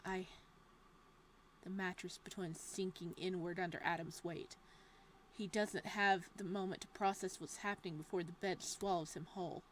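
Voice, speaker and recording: A woman reading a horror visual novel's text aloud in a hushed, steady voice. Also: fairly low pitch, moderate pace, slight background noise.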